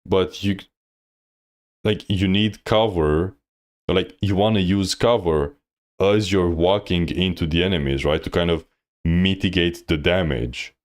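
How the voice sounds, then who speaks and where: A man's voice: deep voice, measured pace. A man coaching on a live stream, reviewing gameplay bluntly with lots of fillers. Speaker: game coach.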